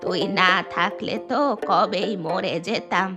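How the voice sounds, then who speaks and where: A woman's voice: fairly low pitch, measured pace, music in the background. A woman voicing an elderly, repentant village grandmother in a children's cartoon, plain-spoken and earnest. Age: older. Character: elderly village grandmother, once stingy, now repentant and generous. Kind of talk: children's cartoon character acting.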